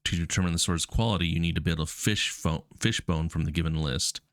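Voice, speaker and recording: Deep-voiced man with a stuffy cold. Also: a little fast, no room sound, studio quality. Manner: casual, relaxed, many ums. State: stuffy with a cold, cough drop in mouth. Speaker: coding streamer. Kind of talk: reading puzzle text aloud and thinking out loud.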